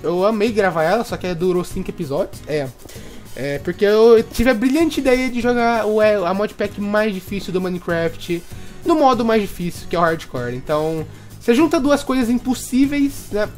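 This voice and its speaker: A young Brazilian man chatting excitably through his gameplay commentary and tossing in tag questions constantly. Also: high-pitched, moderate pace, faint music behind. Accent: Brazilian Portuguese. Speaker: gaming video host.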